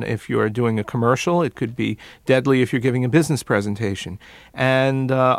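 Accent coach demonstrating vowel sounds. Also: male voice, medium pitch, dry recording. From New York. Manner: demonstrates sounds by repeating words in contrasting accents. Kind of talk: radio interview, explaining and demonstrating pronunciation.

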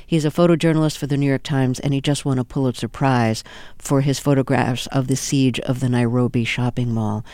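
A man hosting a radio interview, introducing his guest and asking measured, clear questions. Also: mid-range voice, steady tone, a little mellow, no echo. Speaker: radio host.

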